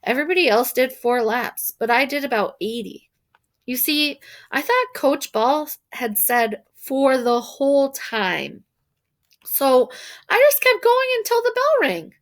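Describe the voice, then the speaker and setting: Female storybook reader, higher-pitched voice, expressive and animated narration for young listeners. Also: a little slow. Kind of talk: expressive read-aloud narration of a children's novel.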